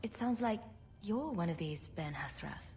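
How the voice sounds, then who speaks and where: A woman's voice: lowish voice, expressive, normal speed, creaky, a little mellow, soft background music. An actress playing a sarcastic, witty female rogue adventurer in a video game, with a wry, flippant manner. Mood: skeptical, wry.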